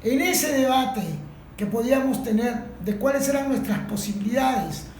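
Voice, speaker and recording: A woman with a Venezuelan accent giving her opinion in a spontaneous discussion, in a reflective, measured way. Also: fairly high pitch, darkish tone. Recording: echoey room, faint background noise.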